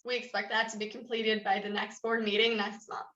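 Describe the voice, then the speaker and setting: A woman playing a formal, firm official who chairs a meeting in a staged reading, speaking in a measured, authoritative way. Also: on the high side, flat delivery, fairly bright tone, close to an everyday voice. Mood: brisk, official. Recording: roomy, dull lo-fi sound.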